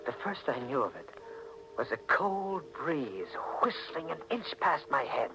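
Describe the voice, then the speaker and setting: A man's voice: a little high, sing-song, measured pace. An actor playing a wary, hard-boiled American man in a 1940s radio mystery, terse and dramatic, with an American accent. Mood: wary, tough. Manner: terse, dramatic, tough-guy delivery. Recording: noisy old 1940s radio recording.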